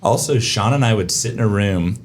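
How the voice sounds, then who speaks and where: A man with a raspy voice, co-hosting a podcast in relaxed, joking chat. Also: fairly low pitch, fairly quick. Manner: easygoing, joking, trails off mid-sentence. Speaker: podcast co-host. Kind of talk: casual chat.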